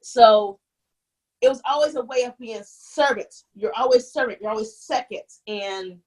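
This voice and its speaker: Give me a young woman with a husky, slightly high voice recounting her past candidly in an interview. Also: unhurried, low-quality recording. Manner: animated, restarts often, acts out quoted voices. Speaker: guest. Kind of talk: candid conversational storytelling.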